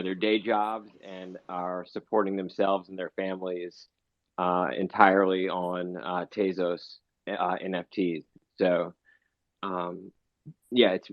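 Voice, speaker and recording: Male discussion speaker, very low, little melodic movement, halting casual delivery, dry lo-fi capture. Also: dry recording. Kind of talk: casual live audio discussion. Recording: dull lo-fi online audio.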